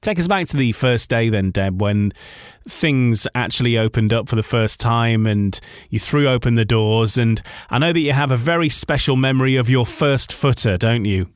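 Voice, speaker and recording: A man speaks as a radio interviewer in a conversational style. His pitch sits in the middle of the male range. The sound is dry, with very little room around the voice. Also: moderate pace, clear, resonant tone, dry recording.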